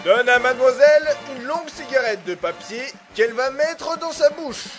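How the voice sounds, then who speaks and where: An actor playing a flamboyant male cowboy whip artist in an audio drama, addressing the audience with drawn-out theatrical showmanship. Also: very high-pitched, a bit bright. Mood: showy, suspenseful. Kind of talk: theatrical stage patter. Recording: music behind the performer.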